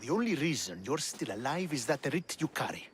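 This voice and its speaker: A scornful Spartan commander. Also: male voice, medium pitch, very animated, fairly quick, a bit bright, slight background noise. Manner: scornful, resentful. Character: bitter, hostile male Spartan commander. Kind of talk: video game cutscene acting.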